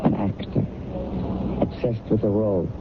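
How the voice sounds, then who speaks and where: Casting: actor for grave, troubled man in a radio mystery, lowish pitch, very narrow-band sound. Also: steady tone, moderate pace, noisy background, close to an everyday voice. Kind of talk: 1970s radio drama acting. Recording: tinny old radio recording with music.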